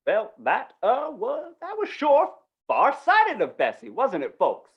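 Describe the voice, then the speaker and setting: A woman's voice: a little high, wildly swooping pitch, highs cut off, exaggerated voice. A woman playing a flustered, folksy, dithering small-town announcer in an old-time western radio play. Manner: dithering, halting, folksy, repeats words.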